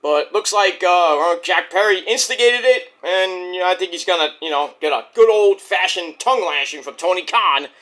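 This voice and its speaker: A man with a high, bright voice talks loosely through a wrestling recap. He rambles, swears freely and jumps into excited bursts. A sore throat is wearing his voice down. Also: normal speed, few pauses. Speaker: wrestling show reviewer. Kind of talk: casual spoken review.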